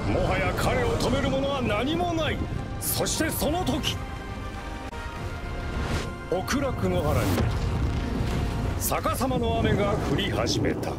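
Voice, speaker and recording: An actor playing a theatrical storyteller who recounts war legends in a grand, declamatory, old-fashioned manner for a game. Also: male voice, high-pitched, full character voice. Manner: grandiose, declamatory, archaic wording. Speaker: storyteller narrator. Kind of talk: dramatic theatrical storytelling narration. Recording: loud music under the voice. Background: loud music.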